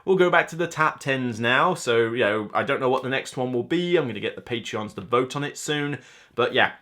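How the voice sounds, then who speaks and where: A man's voice: medium pitch, lively intonation, brisk, pushed and bright. A man in his thirties talking casually and unscripted to camera as a board game reviewer, rambling and chatty with a self-deprecating streak. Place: small room lined with board game shelves, indoors.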